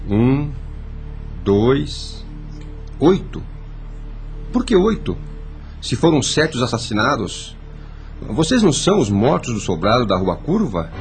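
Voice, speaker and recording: An actor playing a proud, suspicious former police chief with a Brazilian accent in a radio drama. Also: male voice, mid-range voice, very animated, slow pace, pauses often. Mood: wary, probing. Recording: music under the voice.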